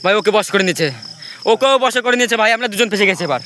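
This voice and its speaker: A man cries out in fear again and again, his voice high and very bright. He talks fast, as if scrambling to keep up with danger. A faint hiss of night-time outdoor noise sits behind him. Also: animated. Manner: fearful, repeated exclamations. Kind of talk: frantic live commentary.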